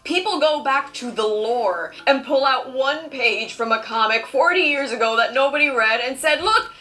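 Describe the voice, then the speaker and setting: Bright-voiced sarcastic woman commentator. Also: high voice, expressive, moderate pace. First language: Spanish. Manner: sarcastic, argumentative. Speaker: video essayist. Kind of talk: scripted commentary.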